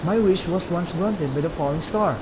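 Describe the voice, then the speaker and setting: A man playing a loving father in a student radio drama, gentle with his child and heated in arguments with his wife. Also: high-pitched, normal speed, close to an everyday voice. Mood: tender. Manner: soft, tender storytelling to his child. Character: loving father, middle-aged man, gentle then angry husband. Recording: noisy amateur recording with hiss.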